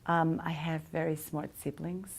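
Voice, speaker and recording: Middle-aged woman, interview guest, reflective, deep gravelly tone. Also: little pitch movement, moderate pace. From Switzerland. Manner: reflective, many hesitations. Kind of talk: casual storytelling.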